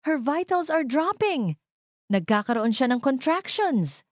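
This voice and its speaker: A woman's voice: high voice, very animated, unhurried, dry recording, studio quality, voice pushed up. A woman voicing an anxious hospital nurse, urgent yet respectful, in an audio drama. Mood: alarmed, shouting. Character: anxious hospital nurse reporting alarming news.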